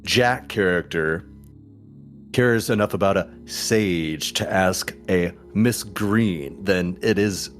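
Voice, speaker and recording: A man's voice: low-pitched, slow, soft background music. A man playing a smug, power-hungry schemer in a tabletop game, talking in a pompous, patronizing, rhetorical way. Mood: smooth, condescending.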